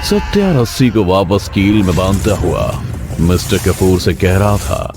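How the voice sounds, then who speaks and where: A man narrates a scripted thriller in a deep voice. His delivery is dramatic and builds suspense. Music plays clearly behind him throughout. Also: measured pace. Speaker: storyteller. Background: prominent music.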